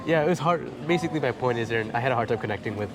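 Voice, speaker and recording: A man's voice: medium pitch, animated, rapid-fire. A man in his twenties with an American accent, a guest explaining things in casual chat and repeating phrases for emphasis. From Chicago suburbs, United States. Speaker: interview guest, expat in the Philippines. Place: large bright indoor lounge with tall windows. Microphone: clip-on lapel mic. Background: music in the background.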